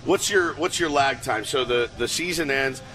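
A man's voice: mid-range voice, normal speed, bright, forceful tone. An American man co-hosting a sports radio show, chatting informally and asking questions in short repeated bursts. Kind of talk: casual interview chat.

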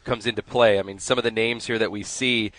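A man's voice: lowish voice, steady tone, a little fast, fairly bright tone. A man hosting a radio interview, who speaks briefly, agrees often and introduces guests. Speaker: radio host. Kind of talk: casual interview.

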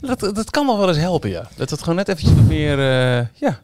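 A man's voice: on the high side, big pitch swings, fairly quick, a little mellow, slight background noise. A man presenting a podcast in a casual style, speaking close to the microphone. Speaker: podcast host.

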